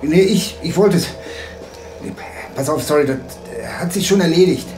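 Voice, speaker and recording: An actor playing a touchy, evasive, worn-out investigator in a German audio drama, speaking in clipped, defensive phrases. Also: male voice, on the high side, moderate pace, reverberant, music in the background, natural voice.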